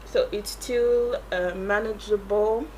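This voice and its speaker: A woman's voice: medium pitch, fairly even intonation, slow pace, dark tone, slight background noise, low-quality recording. A French-accented woman, a native French speaker, chatting casually through a makeup tutorial and rambling as she searches for English words. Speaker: beauty YouTuber.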